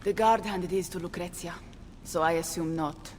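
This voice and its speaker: Actress as a proud countess, echoey hall. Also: female voice, on the low side, fairly even intonation, normal speed, a little mellow, slight background noise, close to an everyday voice. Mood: terse. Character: proud, sardonic Italian countess, tough and unbowed. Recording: echoey hall with music behind.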